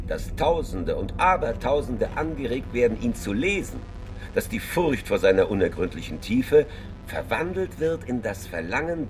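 A lofty male radio director in a radio play. His low voice moves in measured, solemn declamation, full of reverence for great poetry. A faint noise sits under the recording. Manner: grandiloquent, measured.

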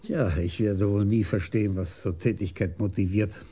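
An actor playing a weary, wry police commissioner with a husky voice in a radio drama. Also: male voice, fairly low pitch, steady tone, moderate pace, warm tone. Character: male police chief, rheumatic, wry, weary superior. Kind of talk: 1980s radio drama acting.

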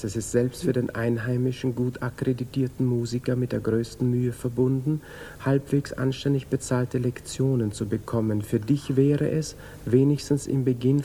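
An actor playing a cool, politely evasive lover, reading out a formal letter in a measured way in a 1950s radio drama. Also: male voice, medium pitch, warm tone, lightly acted. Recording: hissy 1950s radio recording.

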